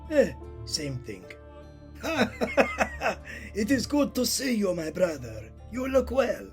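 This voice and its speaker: A man's voice: high-pitched, wildly swooping pitch, slow, heavily acted. A man playing a frail, dying old Italian master painter in an audio drama, weary, wry and theatrical. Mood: wry, delighted. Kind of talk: scripted audio drama dialogue. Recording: music behind the voice.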